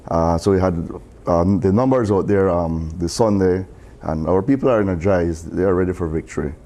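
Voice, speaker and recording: Hoarse candidate guy, super deep, lots of ums. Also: warm tone. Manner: halting, many ums. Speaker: political candidate. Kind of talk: studio interview.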